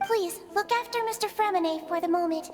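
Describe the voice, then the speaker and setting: A woman voicing a small young female nurse in a video game, polite, precise and clinically calm. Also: very high-pitched, brisk. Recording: reverberant hall, faint game music.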